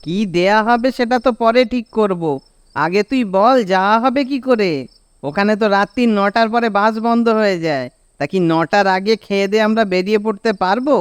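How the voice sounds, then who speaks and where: A man's voice: sits high, moderate pace, smooth, dry recording, studio quality, close to an everyday voice. A man with a Bengali accent voicing a bossy, scolding young village friend in a cartoon, with a nagging, exasperated manner. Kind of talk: animated cartoon dialogue.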